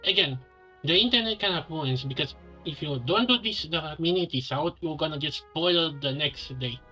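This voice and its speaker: A woman's voice: low voice, normal speed, bright, forceful tone, rough recording. A woman giving casual gameplay commentary as a streamer. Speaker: game streamer. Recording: game music under the voice.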